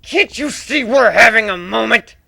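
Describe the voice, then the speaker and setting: A man's voice: high voice, lively intonation, moderate pace, vocal fry, no echo, clean studio sound, voice pushed up, full character voice. A man voicing a blustering, bellowing adult minotaur in a dramatic fantasy story reading. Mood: indignant. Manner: loud, bellowing. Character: blustering full-grown male minotaur shipmate.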